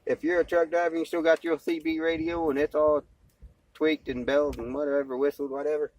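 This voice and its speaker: A man's voice: a little high, little pitch movement, brisk, darkish tone. A man talking casually and plainly into a cell phone, in a folksy, slangy, rambling vlog style. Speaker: amateur vlogger and CB radio hobbyist, former truck driver.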